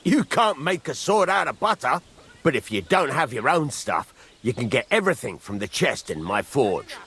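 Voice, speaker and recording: An actor playing a gruff middle-aged village blacksmith in a video game, husky, blunt and rustic, giving plain instruction. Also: male voice, medium pitch, big pitch swings, moderate pace, fairly bright tone, a little hiss or hum. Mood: instructive. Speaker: master blacksmith. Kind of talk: video game character acting.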